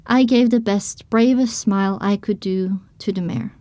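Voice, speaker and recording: A woman reading scripted first-person story narration for an audio drama, with expressive storytelling delivery. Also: medium pitch, normal speed, dry recording.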